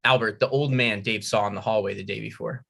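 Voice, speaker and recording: Bright-voiced man reading stage directions. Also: fairly low pitch, steady tone, fast talker, gravelly. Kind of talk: scripted narration.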